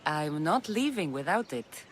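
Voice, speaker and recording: A woman's voice: low voice, very animated, unhurried, slight background noise. An actress playing a blunt, determined Spartan mercenary woman in a video game cutscene. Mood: insistent.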